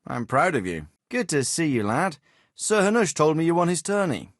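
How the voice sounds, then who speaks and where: Dignified medieval lord, lively intonation. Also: male voice, on the high side, dry recording, studio quality. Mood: proud, fatherly. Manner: formal, deliberate, lordly phrasing. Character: dignified, measured medieval nobleman, fatherly royal hetman. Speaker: voice actor playing a game character. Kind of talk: scripted video game dialogue.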